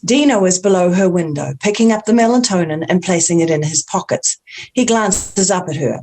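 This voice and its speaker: A woman's voice: fairly low pitch, moderate pace, darkish tone. A woman reading the stage directions at a script table read.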